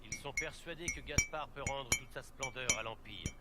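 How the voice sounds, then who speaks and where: An actor playing a composed male advisor in a fantasy video game, giving formal, measured explanations. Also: medium pitch, lightly acted. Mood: composed, explanatory. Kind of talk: scripted game dialogue, French dub. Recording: very noisy game audio capture.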